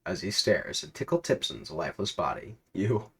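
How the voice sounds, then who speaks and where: A man's voice: sits low, huge pitch swings, a little fast, a bit bright, husky. A man telling a comedic story in the second person with dramatic narration.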